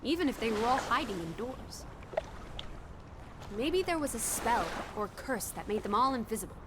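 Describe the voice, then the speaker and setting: Woman playing a high, lively, teasing young companion. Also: normal speed, background noise, close to an everyday voice. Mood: wry, teasing. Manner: breezy, wry, mock-dramatic. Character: sociable, teasing, playful young female travel companion. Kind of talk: video game voice acting.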